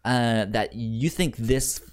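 A man's voice: mid-range voice, slow. A man hosting a casual film podcast, who stammers and often repeats the beginnings of words. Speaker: podcast host.

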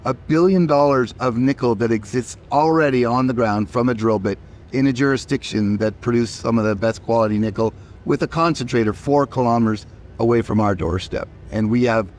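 A man's voice: medium pitch, fairly quick. A company chief executive, a man who is losing his voice, answering interview questions emphatically and often repeating words as he starts.

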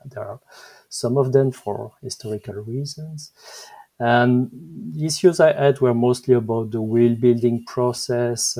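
A Belgian man in his fifties speaks English with a French accent, his voice sitting at an ordinary middle pitch. He talks haltingly, stopping to restart phrases and repeating words as he searches for them. It has the easy feel of a casual podcast chat. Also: creaky, dark tone, low-quality recording. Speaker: guest software engineer.

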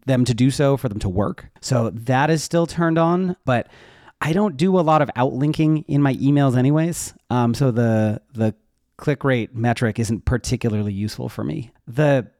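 A man gives an informal, explanatory answer as a guest in an interview. His pitch sits in the middle for a man. The sound is dry, with very little room around the voice. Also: normal speed, darkish tone, no room sound. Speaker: interview guest, web developer and educator. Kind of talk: casual explanatory interview talk.

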